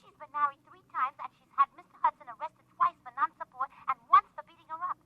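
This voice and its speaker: Indignant radio-play wife female actor, high and scolding, insistent, on a dull vintage recording. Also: fast talker, no echo, close to an everyday voice. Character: nagging, indignant wife threatening to leave. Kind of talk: 1950s radio drama acting.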